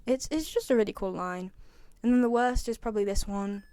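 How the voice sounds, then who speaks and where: Higher-voiced young British woman, dry recording. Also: fairly quick, mellow, clean tone, no echo. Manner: repeats herself for emphasis. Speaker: video host, game fan. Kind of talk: casual opinion commentary.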